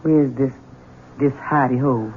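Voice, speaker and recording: A woman's voice: extremely low pitch, a little slow, a little hiss or hum. An actress playing a long-suffering, anxious woman servant in a 1970s radio drama, earnest and deferential. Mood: curt, subdued. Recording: narrow, tinny old radio broadcast.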